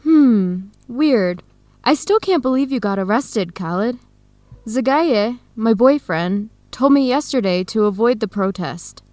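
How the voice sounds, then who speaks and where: A woman's voice: fairly high pitch, expressive, a little slow, a little mellow, no room sound. An actress playing a friendly, curious and candid young woman in an educational radio drama. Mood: surprised, candid.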